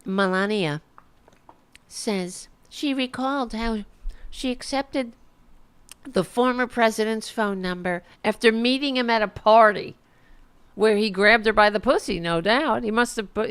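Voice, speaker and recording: A woman unhurriedly mocking the news on her home livestream, sarcastic and quick to swear. Her voice is smooth and resonant underneath, with a gravelly fry, and she is starting to lose it. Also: a little high, a bit bright. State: losing her voice. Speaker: political commentary host. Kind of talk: casual livestream commentary.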